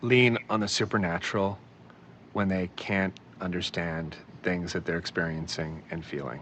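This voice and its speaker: A man giving a skeptical opinion in an interview clip, measured and dismissive. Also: sits low, steady tone, fairly bright tone, a little hiss or hum.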